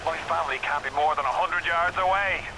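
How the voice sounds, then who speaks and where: An actor voicing an affable, urgent Irish guide who coaches the player over the radio in a video game, folksy and confiding. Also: male voice, a little high, fairly quick. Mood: eager, urgent. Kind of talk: video game character acting. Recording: crackly radio with loud noise.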